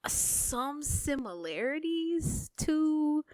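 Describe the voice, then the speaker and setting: A woman's voice: high voice, sing-song, very slow, a little mellow. A woman guest on a podcast, chatting casually and listing examples with plenty of filler words. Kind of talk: casual chat.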